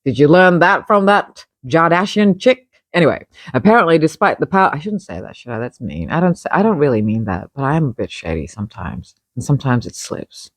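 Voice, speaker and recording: A woman's voice: deep voice, sing-song, a little fast, dry recording. A woman reading her own article aloud, breaking off into wry, chatty, opinionated asides and interrupting herself as she goes. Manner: digressive, wry, self-interrupting. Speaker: magazine publisher reading own feature article.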